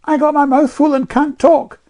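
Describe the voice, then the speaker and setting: A woman's voice: high voice, level tone, moderate pace, warm tone, pitched above the performer's own voice, close to an everyday voice. A woman voicing a sullen, stubborn little girl with blunt, childish speech in a storybook reading. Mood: dignified.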